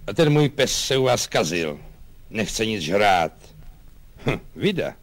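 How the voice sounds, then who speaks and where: Storybook colonel, male performer, self-important and grim but woolly-minded, repeats himself haltingly, very varied pitch. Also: sing-song. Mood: distracted grumbling. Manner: halting, repetitive, pompous. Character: pompous, grim, muddle-headed male army colonel.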